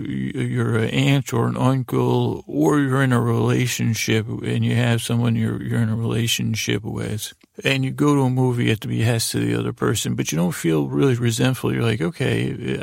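A man hosting a bedtime story podcast in a lulling, meandering way, halting and stammering as he wanders off on tangents. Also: medium pitch, gravelly, dry recording, studio quality. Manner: lulling, soothing delivery. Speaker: podcast host.

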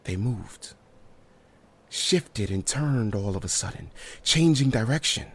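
A man's voice: lowish voice, lively intonation, takes it slowly, a bit bright, husky, full character voice. An actor playing a haunted survivor in a horror audio drama, recounting his ordeal in a hesitant, solemn and descriptive way. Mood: wistful, troubled. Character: haunted male survivor recounting a harrowing night.

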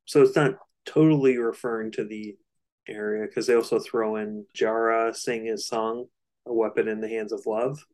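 A man with a fairly low voice talks through an album as a podcast co-host. His delivery is casual and explanatory, with some hesitant searching for the right phrase. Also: fairly even intonation, moderate pace, darkish tone, rough recording.

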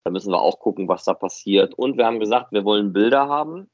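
Low-pitched man, dry close sound. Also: animated, fast, darkish tone, dry recording, dull audio. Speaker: podcast host and fan audio drama director. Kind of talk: casual podcast conversation.

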